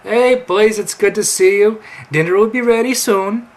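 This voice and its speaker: Man putting on a high-pitched motherly farm wife. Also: moderate pace. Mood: cheery.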